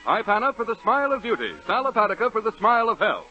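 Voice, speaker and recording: A man announcing a 1930s radio comedy show with a theatrical, booming delivery, on an old radio recording. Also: sing-song, fast talker. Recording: dull 1930s radio recording with loud music.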